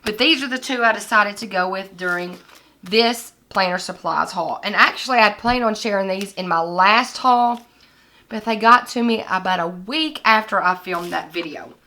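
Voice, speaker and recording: A young woman, hoarse and scratchy from a recent illness, chatting casually as she shows planner supplies to the camera at her desk. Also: mid-range voice, moderate pace, bright, forceful tone. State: hoarse, recovering from lost voice. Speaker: planner vlogger. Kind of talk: casual product haul vlog. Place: indoors at a desk with lighting kit.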